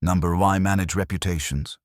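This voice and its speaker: A man's voice: sits low, fairly even intonation, brisk, a little mellow, no room sound, studio quality, voice pushed up, lightly acted. A man voicing a cool, composed, assertive female publicist in a detective audiobook. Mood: insistent.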